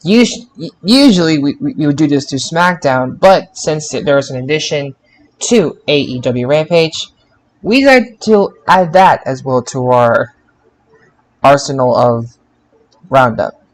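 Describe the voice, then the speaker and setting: A man hosting a podcast roundup, halting and often restarting his phrases. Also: fairly high pitch, lively intonation, poor audio. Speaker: podcast host.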